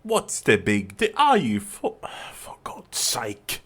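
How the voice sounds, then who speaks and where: A man's voice: mid-range voice, huge pitch swings, slow pace, a little hiss or hum. A man playing a world-weary, grave older detective in a fantasy audio drama. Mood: incredulous, exasperated. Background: music.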